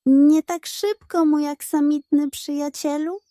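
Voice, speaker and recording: A woman's voice: sits high, steady tone, measured pace, warm tone, no echo, voice pushed up. A woman voicing a scheming, hungry male pug in a children's storybook, with a pleading, theatrical, self-important manner. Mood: eager, persuasive.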